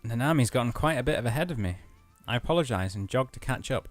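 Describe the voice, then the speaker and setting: Male let's play narrator, mid-pitched and expressive, scripted reading plus casual remarks, dry mic. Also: fast, no room sound, soft background music. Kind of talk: reading visual novel narration aloud, casual commentary.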